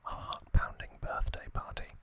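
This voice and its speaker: A man whispering an ASMR recap as host, in short, deliberate phrases with pauses between them. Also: fairly bright tone.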